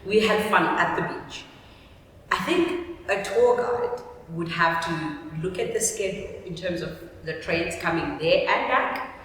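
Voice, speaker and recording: A woman's voice: on the low side, animated, unhurried, fairly bright tone. A woman hosting a casual chat in a playful, teasing, self-deprecating way. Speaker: vlog host. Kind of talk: casual chat to camera and guest. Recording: distant echoey room, faint noise.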